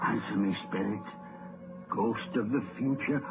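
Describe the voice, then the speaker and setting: An actor playing a frightened, repentant old miser in an old-time radio drama, theatrical and halting, pleading and repeating his words. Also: fairly low pitch. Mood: fearful, earnest. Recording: muffled vintage radio broadcast with music.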